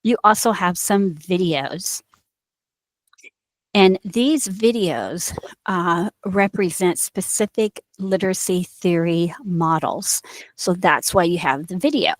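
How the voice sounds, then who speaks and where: A woman's voice: fairly low pitch, slow, dry recording. A middle-aged woman with an Indiana accent, an instructor explaining a course module in a recorded online lecture, with fillers and restarts. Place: small office with bookshelves. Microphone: headset.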